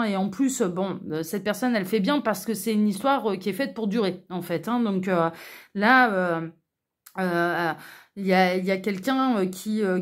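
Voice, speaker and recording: A woman hosting an online tarot reading, talking casually to viewers in a chatty, informal, hesitant way full of asides. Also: medium pitch. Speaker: online tarot reader and channel host.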